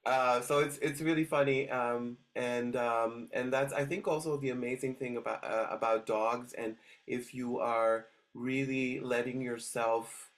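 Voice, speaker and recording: A man's voice: medium pitch, fairly even intonation, a little slow, few pauses. A middle-aged man talks through his story in a casual interview. He hesitates often and restarts his sentences, filling gaps with "um" and "uh.". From Switzerland. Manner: hesitant, many restarts and fillers. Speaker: dog trainer guest.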